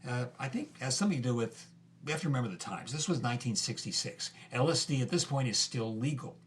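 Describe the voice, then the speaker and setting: A man's voice: mid-range voice, animated, fast, slight background noise. A man telling a story in a conversational documentary style.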